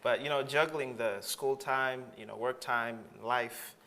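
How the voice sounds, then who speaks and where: Bright-voiced enthusiastic man from Tanzania. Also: medium pitch, fairly even intonation, unhurried. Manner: enthusiastic, rambling with many fillers. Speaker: graduate student guest. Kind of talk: casual interview answers.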